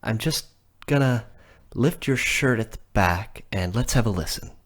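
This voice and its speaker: An actor playing an unhurried clinic nurse in an audio drama, with a husky, rough-edged voice and a casual, halting manner. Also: male voice, on the low side, lively intonation. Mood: calm, businesslike. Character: unhurried male clinic nurse seeing a patient.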